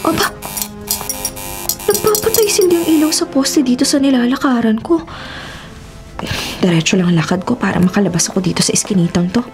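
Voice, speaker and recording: An actress playing a sassy, easily spooked young woman travel vlogger with a Manila Filipino accent in an expressive radio drama style. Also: fairly high pitch, fairly quick, pauses often, natural voice. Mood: nervous, alarmed. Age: young adult. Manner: expressive, sarcastic, mixes in English slang. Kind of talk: Tagalog radio drama acting with inner narration. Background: music.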